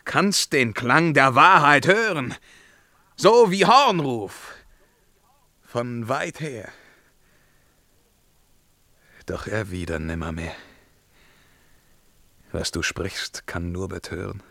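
An actor playing a tormented, world-weary emperor in a German verse radio drama, declaiming between outcries and hushed murmurs. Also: male voice, medium pitch, animated, slow, long pauses, no echo, exaggerated voice. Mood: urgent, admonishing.

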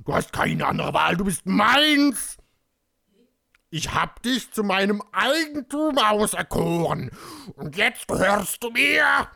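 A performer voicing a furious, possessive unicorn prince with a booming, drawn-out, threatening delivery. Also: creaky, pushed and bright, full character voice. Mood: angry, possessive.

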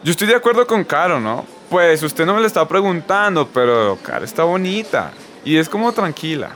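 A man's voice: a little high, very animated, brisk, over music. A man playing a playful, lovestruck young guy with a Colombian accent in a radio soap. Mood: playful, hinting. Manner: colloquial, playful.

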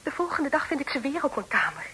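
Anxious young lady, period radio drama, bright clear-cut voice, formal pleading delivery, noisy old recording. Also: high-pitched, very animated, fast, heavily acted. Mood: distressed, pleading. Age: young adult.

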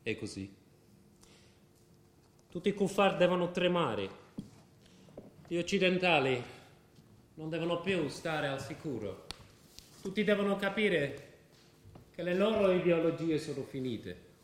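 Militant man, measured and insistent, slightly high voice, roomy theatre sound. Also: expressive. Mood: ideological fervour. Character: calm militant jihadist man, persuasive, counselling patience. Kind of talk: stage-style radio drama acting.

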